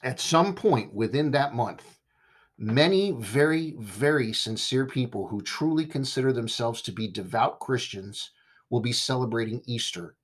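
A man from the United States giving a reflective, earnest monologue in a measured way. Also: medium pitch, expressive.

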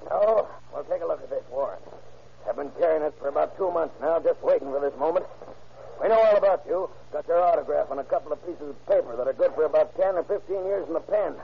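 A burly police lieutenant barks orders in a 1930s radio drama. His high, gravelly voice sounds thin, as if over a phone line. Loud hiss sits under it. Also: fast talker. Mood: taunting. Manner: gruff, commanding. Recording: tinny, hissy 1930s radio recording.

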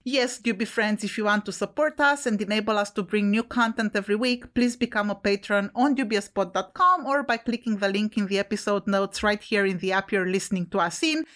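A woman's voice: medium pitch, brisk, few pauses, a bit bright. A woman co-hosting a podcast with an Italian accent, chatting casually, laughing often and swearing now and then. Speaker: podcast co-host.

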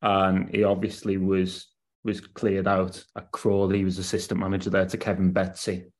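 A man's voice: low voice, monotone, moderate pace, a little mellow. A man, a football writer on a podcast, talking through his analysis at length in a casual chat. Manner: analytical, weighs points at length.

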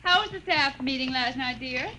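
An actress playing a gentle young mother in an old radio drama, easily impressed. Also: high voice, moderate pace, slight background noise. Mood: soothing. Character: warm, fussing young mother. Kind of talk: 1950s radio drama acting.

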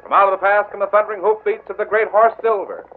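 A man announcing and narrating a vintage western radio drama in a booming, declamatory style. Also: fairly even intonation, fast talker. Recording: tinny old radio broadcast.

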